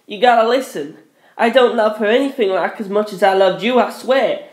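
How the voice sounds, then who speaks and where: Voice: mid-range voice, moderate pace, echoey room, pitched above the performer's own voice, natural voice. A narrator voicing a terrified young man who rambles and begs in an audio story. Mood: pleading, apologetic. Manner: shaky, pleading, rambling. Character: terrified, begging young man, self-absorbed.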